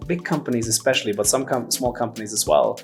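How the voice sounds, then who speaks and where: A man's voice: mid-range voice, quick pace, gravelly, faint music behind. Articulate man from Germany and Finland, explaining. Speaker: podcast guest, entrepreneur. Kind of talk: conversational interview answers.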